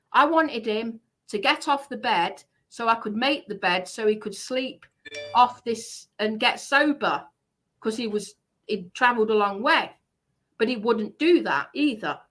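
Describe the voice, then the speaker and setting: A woman's voice: a little high, a bit bright. A woman giving a heated personal account on a livestream, speaking in an indignant, emphatic way and repeating herself for stress.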